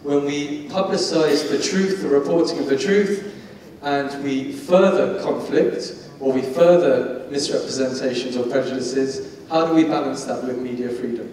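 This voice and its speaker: A man moderating a public panel discussion in a halting way, repeating words as he phrases his questions. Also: mid-range voice, a little mellow. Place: large indoor conference hall. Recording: echoey hall, distant mic, faint noise.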